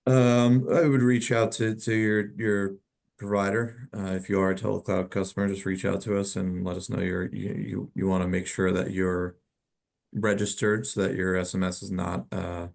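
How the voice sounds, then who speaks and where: Low, creaky-voiced man explaining haltingly. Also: little pitch movement, darkish tone. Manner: halting, repeats words while explaining. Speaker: telecom company expert. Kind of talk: informal webinar question-and-answer.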